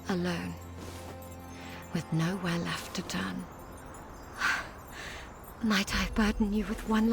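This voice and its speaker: A woman playing a weary, defeated temple warrior in a video game cutscene, speaking in a solemn, halting, formal way. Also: fairly low pitch. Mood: grieving, defeated. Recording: background music under the voice.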